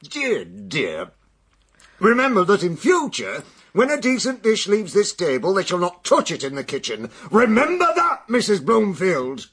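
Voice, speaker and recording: Blustering, high-pitched English household tyrant. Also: male voice, huge pitch swings, a little slow, a bit bright, breathy edge, exaggerated voice. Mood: scolding. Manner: blustering, pompous, scolding. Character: irascible, fault-finding Victorian father and household master. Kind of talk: period audio drama acting.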